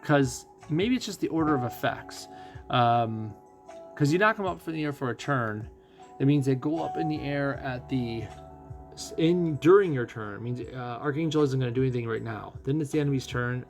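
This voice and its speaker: A man with a gravelly, creaky voice at a middling pitch talks through a game for a stream. He muses aloud in a casual, conversational way, working through his options as he goes. Music plays behind him. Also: lively intonation, normal speed. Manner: musing, thinking out loud. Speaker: gaming streamer. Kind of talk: casual live game commentary.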